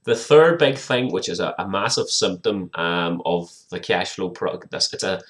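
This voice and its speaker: A middle-aged British man, a little hoarse and croaky, giving an informal video explainer as an accountant and speaking directly to the viewer in a conversational way. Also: low voice, normal speed, a bit bright. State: hoarse, croaky from a viral infection. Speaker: small business accountant presenting advice.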